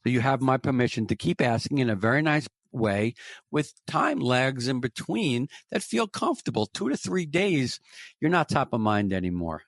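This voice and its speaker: A middle-aged man with a New York accent talks sales like a coach, driving points home by repeating them. His voice sits at an ordinary pitch. The recording is close and dry. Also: big pitch swings, moderate pace, creaky, dry recording. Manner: emphatic, repeats key phrases like a coach. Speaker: podcast guest, sales trainer. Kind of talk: conversational interview.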